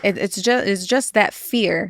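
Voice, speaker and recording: A woman's voice: mid-range voice, moderate pace, no echo, studio quality. A Palestinian woman from East Jerusalem, a podcast guest talking in casual conversation, earnest, with many fillers and restarts.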